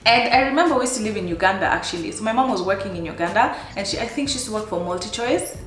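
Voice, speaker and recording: A woman's voice: very fast, reverberant, faint music behind. Chatty Kenyan woman in her thirties. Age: 30s. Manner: chatty and animated, laughs often, high exclamations. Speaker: vlogger. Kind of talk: casual life-update chat.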